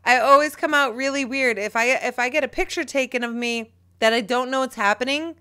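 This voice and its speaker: A chatty woman in her thirties co-hosts a casual podcast, teasing her friend and talking in an animated way. Her voice sits a little high and sounds smooth and clear. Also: fairly quick. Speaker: podcast co-host. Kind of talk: casual chat.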